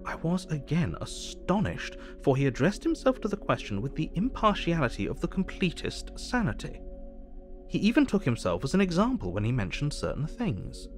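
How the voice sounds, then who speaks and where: An actor playing an earnest asylum doctor narrating his diary in measured, formal English. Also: on the high side, huge pitch swings, faint music behind. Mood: astonished, reflective.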